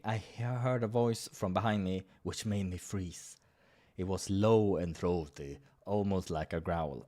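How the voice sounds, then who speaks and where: A man's voice: on the low side, darkish tone. A man hosting a visual novel playthrough, reading the story aloud with playful, theatrical reactions. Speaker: gaming host narrating a playthrough. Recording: music behind the voice.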